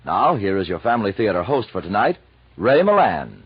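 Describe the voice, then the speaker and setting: A man's voice: fairly low pitch, sing-song, brisk, slightly rough, no room sound. A man with an American accent doing scripted 1940s radio announcing. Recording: dull 1940s radio recording over music.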